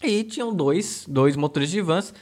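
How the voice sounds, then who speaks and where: A woman co-hosts a podcast in a mock-earnest, sarcastic tone, swearing freely as she argues. Her pitch swings widely from line to line. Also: lively intonation, normal speed, darkish tone. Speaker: podcast co-host. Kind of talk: sarcastic comedic chat.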